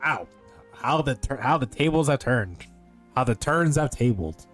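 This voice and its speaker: A man's voice: mid-range voice, lively intonation, measured pace, fairly bright tone, faint music behind. A man giving casual, wry, joking commentary as he streams a video game.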